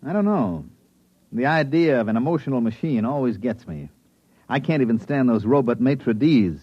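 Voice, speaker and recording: A cautious, skeptical space surveyor in a 1950s radio play. His voice is rough and husky, rising and falling as he grumbles. The dry old recording is muffled. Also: medium pitch, lively intonation, moderate pace, no echo. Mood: wary, grumbling. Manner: grumbling, doubtful. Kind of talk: 1950s radio drama acting.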